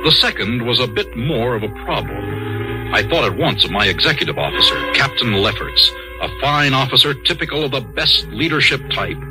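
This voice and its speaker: An actor playing a brisk, self-important army colonel with clipped military phrasing, narrating and acting in an old-time radio drama. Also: male voice, medium pitch, very animated, music in the background, close to an everyday voice, poor audio. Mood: brisk, confiding.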